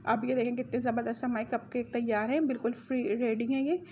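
Woman, home cooking host, higher voice, chatty, corrects herself, faint hiss. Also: steady tone, a little fast, darkish tone. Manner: chatty, self-correcting on measurements. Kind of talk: casual recipe walkthrough. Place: home kitchen.

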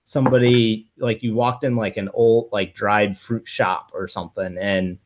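A man's voice: fairly low pitch, level tone, normal speed. Man chatting casually on a video call. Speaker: guest whisky reviewer. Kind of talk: casual chat. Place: living room at home.

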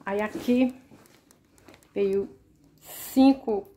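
A woman showing her grocery haul at home, listing each item one by one in a relaxed, casual way. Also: mid-range voice. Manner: slow, listing items one by one. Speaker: vlogger. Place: kitchen at home, indoors.

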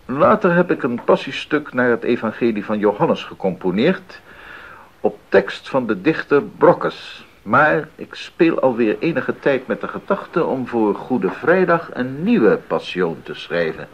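A man's voice: mid-range voice, big pitch swings, a little slow, breathy edge, full character voice. An actor playing an earnest, devout male composer in a 1980s radio drama, speaking in a formal, emphatic way. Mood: thoughtful, earnest. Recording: slightly dull 1980s radio recording.

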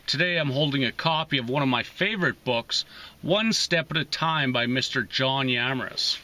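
A man in his thirties reading poetry aloud with deliberate pauses between short phrases, as host of a reading series, recorded inside a parked car. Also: mid-range voice, bright, forceful tone. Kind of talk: slow, emphatic poetry reading.

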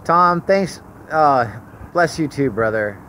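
A man's voice: medium pitch, animated, takes it slowly, sharp tone. A man interviewing someone casually, with an encouraging, affirming manner. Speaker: interviewer behind the camera. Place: outdoors. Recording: outdoor phone recording, faint traffic noise. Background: faint vehicle noise.

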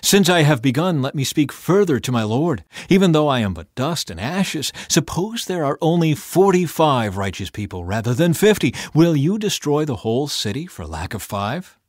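A man reading Scripture gives voice to an old man who bargains with his Lord, humble and urgent, pressing each request. The pitch lifts and swings as he pleads. The sound is dry and close. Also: big pitch swings, normal speed, few pauses, no echo. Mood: pleading. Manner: urgent, imploring.

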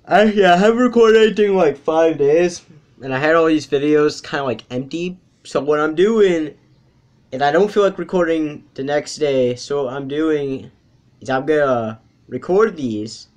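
A young man hosting a reaction video in a casual, chatty style. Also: fairly high pitch. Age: young adult.

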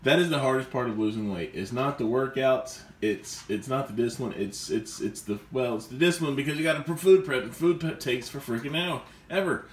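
A man's voice: medium pitch, a little fast, hardly any pauses. A man casually reviewing a skillet while he cooks in his home kitchen, talking in a chatty, enthusiastic way with restarts and fillers. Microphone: camera mic, speaker off screen. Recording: echoey kitchen with faint background noise.

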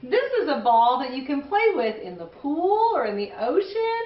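High-pitched, gushing woman hosting for kids. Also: sing-song, normal speed, slight background noise. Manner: gushing, exclamatory. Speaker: children's read-aloud show host. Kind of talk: playful presenting for young children. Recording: roomy, distant, slightly dull sound.

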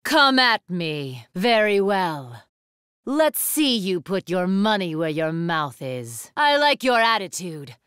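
A woman's voice: on the low side, big pitch swings, takes it slowly, fairly bright tone, clean tone, no echo, clean studio sound, full character voice. A woman voice actor playing an imperious, iron-willed young warrior woman in an anime game, with a haughty, formal, declamatory delivery. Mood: cool, measured challenge. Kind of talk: English anime game voice acting.

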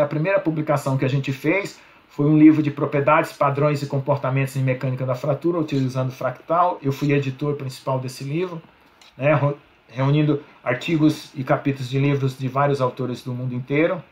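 A middle-aged man with a Brazilian accent lectures from a small room over a video call. His voice sits a bit higher than most men's. He explains patiently, tags his points with "né?" and restarts words as he goes. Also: fairly even intonation, brisk. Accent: Brazilian Portuguese. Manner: explanatory, frequent "né?" tags, self-corrections. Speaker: university lecturer. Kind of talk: online slide lecture.

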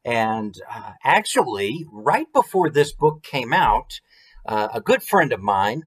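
A man in his forties with an American accent, hosting a story time in an expressive, conversational way with chatty asides. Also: mid-range voice, takes it slowly, breathy edge. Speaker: author reading his picture book. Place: small room at home, indoors.

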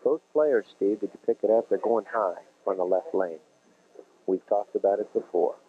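A man's voice: lowish voice, moderate pace, slight background noise. A man with a Midwestern American accent doing TV bowling commentary, folksy and explaining the game to viewers. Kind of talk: TV sports commentary. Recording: dull lo-fi 1980s TV broadcast.

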